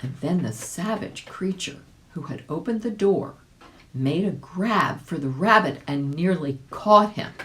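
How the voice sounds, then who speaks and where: Slow, animated woman reading a children's story. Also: on the low side, a little hiss or hum, low-quality recording. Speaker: volunteer story reader. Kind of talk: expressive children's storybook narration.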